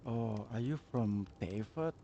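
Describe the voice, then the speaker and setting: A man live-streaming a game, thinking aloud in halting, casual as he reacts to the dialogue. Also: fairly low pitch, mellow. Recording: dull mic with faint noise.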